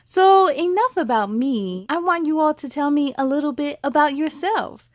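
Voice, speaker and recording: A woman voicing a kind but gently firm first-grade teacher in a storybook, addressing a class politely. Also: high voice, moderate pace, no echo, studio quality, pitched above the performer's own voice, lightly acted. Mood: welcoming.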